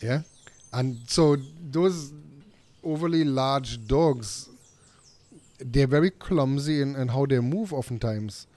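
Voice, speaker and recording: A man's voice: mid-range voice, slow pace, darkish tone. A man speaking as a dog-trainer guest on a podcast, earnest and emphatic about his pet peeve. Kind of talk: casual conversation.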